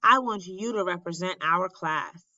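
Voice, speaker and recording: Woman voicing an eager, bright-voiced young schoolboy. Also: fairly low pitch, unhurried, no room sound, dull audio. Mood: supportive. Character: eager, loyal third-grade boy, supportive classmate who loves art.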